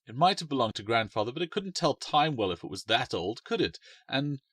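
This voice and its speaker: A man voicing a cheeky, excitable young boy who whines and protests. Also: mid-range voice, fairly quick, breathy edge, no room sound, clean studio sound, pitched above the performer's own voice. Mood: complaining.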